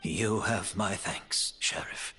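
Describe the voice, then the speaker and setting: An actor playing a composed, weary old man in a video game, speaking in a measured, polite and deliberate way. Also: low voice, sharp tone, soft background music, heavily acted. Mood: polite, resigned. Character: composed, weary old man, a suspected criminal with hidden resolve.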